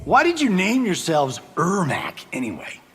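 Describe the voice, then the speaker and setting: Cocky high-pitched wisecracker. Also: male voice, huge pitch swings, moderate pace, noisy background. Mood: flippant. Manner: flippant, sarcastic, theatrical. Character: cocky, wisecracking, vain movie-star fighter. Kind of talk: fighting game character banter.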